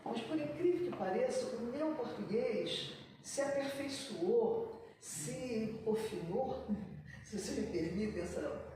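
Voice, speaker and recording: A woman speaking on a literary panel, thinking aloud with frequent pauses and restarts, recorded from a distance in an echoey room. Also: fairly high pitch, expressive, noisy background. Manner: reflective, many pauses and restarts. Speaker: writer on a panel. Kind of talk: panel discussion talk.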